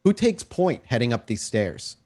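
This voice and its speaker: A man with a medium-pitched voice runs a tabletop roleplaying game. He describes scenes in an improvised, conversational way, as game master to his players. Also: sing-song, moderate pace, mellow.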